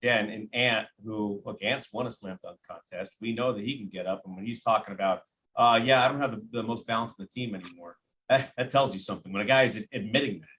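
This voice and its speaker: A man's voice: on the low side, quick pace, a bit bright, slight background noise, rough recording. A man who works as a play-by-play announcer, giving casual sports analysis as a podcast guest.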